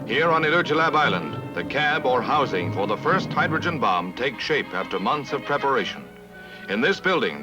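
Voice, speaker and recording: An American man narrating a 1950s newsreel in a formal, dramatic, declamatory manner. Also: medium pitch. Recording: dull vintage newsreel, loud music behind. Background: loud music.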